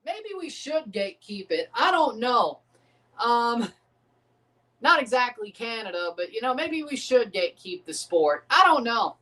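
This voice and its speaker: A man hosts a relaxed livestream reaction, talking in loose, profane rambles. He keeps doubting his own points as he goes. His voice sits a little back from the microphone. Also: extremely high pitch, measured pace, far from the mic. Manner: rambling, profane, second-guessing himself. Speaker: livestream host reacting to a match. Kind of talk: casual livestream reaction chat.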